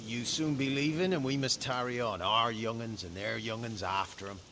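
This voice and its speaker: A grim, hardened village headman from a fantasy game, acted by a man, wary and rustic in his speech. His voice sits at a middling pitch, with clear ambient noise around it. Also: fairly even intonation, moderate pace, natural voice. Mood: grim resigned. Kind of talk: video game cutscene acting.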